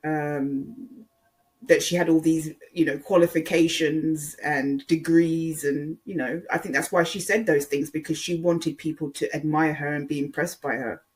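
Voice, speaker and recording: Casual online commentator, mid-pitched, rambling and hesitant delivery with emphatic repetition. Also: fairly even intonation, unhurried. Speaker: online video creator.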